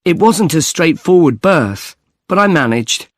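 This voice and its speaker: A man's voice: a little high, lively intonation, unhurried, no echo, clean studio sound. A man playing an earnest young student in a scripted listening-test dialogue. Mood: earnest, chatty. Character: earnest young male student on farm placement.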